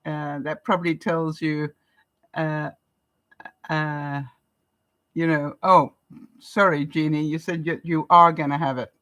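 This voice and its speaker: An older woman from Canada with a stuffed-up nose, hosting a casual live-stream chat and often pausing with ums and small laughs. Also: low-pitched, steady tone, no echo. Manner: chatty, with frequent ums and soft laughs. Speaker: vlogger hosting a live broadcast.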